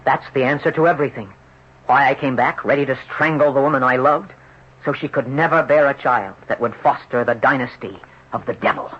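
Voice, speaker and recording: A man's voice: medium pitch, fairly quick, husky, natural voice. An actor playing an anguished, jealous young surfer trapped in a rich man's body, telling his story confessionally in old-time radio drama style. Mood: tormented. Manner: dramatic, confessional, swinging from musing to agitated. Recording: lo-fi noisy vintage radio drama recording.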